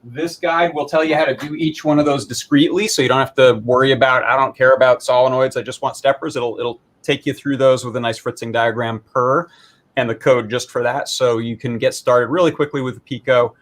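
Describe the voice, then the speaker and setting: A man's voice: mid-range voice, fairly even intonation, a little fast. Casual man explaining a tech demo. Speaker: maker presenting a hobby electronics project. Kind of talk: casual live demo talk, explaining technical details.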